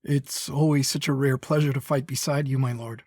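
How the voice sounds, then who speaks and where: An actor playing a devoted young dark warrior in a space-opera audio drama, speaking formally and deferentially with a husky voice. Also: male voice, mid-range voice, fairly even intonation, moderate pace, no echo, studio quality, natural voice. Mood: respectful.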